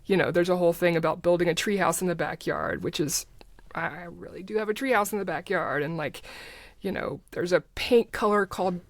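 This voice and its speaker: A woman's voice: lowish voice, lively intonation, fairly quick, dry recording. A woman talking casually as a podcast guest, explaining things informally and dropping in little quoted asides. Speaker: podcast guest, writer and advertising creative. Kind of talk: casual conversational interview, explaining.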